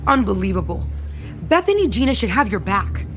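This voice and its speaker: An actress playing a self-assured, scheming woman who feigns loyalty, in an audio soap opera with a haughty, smooth delivery. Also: mid-range voice, very animated, fairly quick, lightly acted. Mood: indignant. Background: music under the dialogue.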